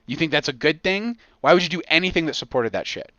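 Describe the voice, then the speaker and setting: An American man hosting a casual comedic podcast, talking in an excitable, profane way with punchy repetition. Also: fairly high pitch, quick pace, dry recording, muffled recording. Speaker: podcast host.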